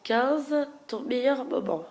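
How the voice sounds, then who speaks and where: A woman's voice: high-pitched, lively intonation, takes it slowly. Congested young woman chatting casually. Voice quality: nasal, congested voice. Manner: chatty, frank, trailing off into soft murmurs. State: stuffy head cold. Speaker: beauty vlogger. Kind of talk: casual Q&A tag video.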